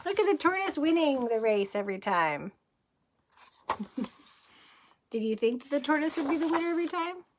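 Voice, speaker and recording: A woman teacher reading a picture book aloud to young children, expressive, with casual asides and questions to the listeners. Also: high-pitched, moderate pace, pauses often, a little hiss or hum. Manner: expressive, addresses children with questions and simple explanations.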